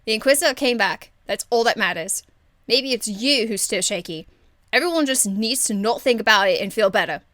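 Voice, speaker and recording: A woman voicing a cheeky, irreverent young female rogue archer in an amateur game voice demo, blunt, slangy and excitable. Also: on the high side, a little fast, no echo, studio quality. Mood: defensive.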